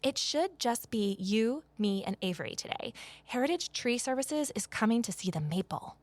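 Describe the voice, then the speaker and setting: A woman's voice: on the high side, animated, moderate pace, no echo, close to an everyday voice. A woman playing an earnest, scheming amateur sleuth who narrates her own story in an audio drama. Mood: decisive.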